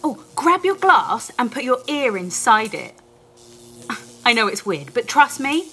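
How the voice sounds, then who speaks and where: A woman playing an eager, excitable library helpline worker in a family audio play, helpful and encouraging. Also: on the high side, unhurried, faint music behind, heavily acted.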